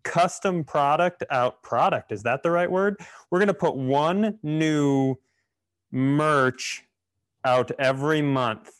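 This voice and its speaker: A man's voice: a little high, slow pace, clean tone. A man co-hosting a comedy podcast, chatting casually in a self-deprecating, rambling way. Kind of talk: casual comedic banter and announcements.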